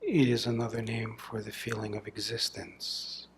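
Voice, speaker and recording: A man leading a guided meditation as a spiritual teacher, speaking softly and deliberately in measured phrases with long pauses, often breathy and trailing toward a whisper. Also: fairly low pitch, fairly even intonation, slight background noise. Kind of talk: guided meditation, contemplative satsang talk.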